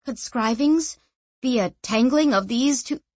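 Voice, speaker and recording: An earnest young woman scholar in an audiobook, voiced by the narrator. Her voice is high and slightly husky, with a wondering, questioning manner. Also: a little slow, a little mellow, no echo. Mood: curious.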